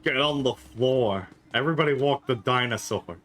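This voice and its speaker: A man's voice: medium pitch, moderate pace, fairly bright tone, soft background music. A man chatting while playing a co-op game, asking curious questions and making wry remarks. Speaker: co-op player. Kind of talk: casual gameplay chat.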